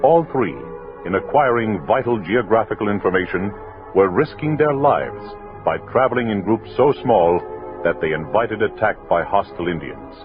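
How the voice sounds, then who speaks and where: A man's voice: lowish voice, animated, moderate pace. A man reading dramatic scripted narration as an announcer in an old-time radio style. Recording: tinny old radio recording with music.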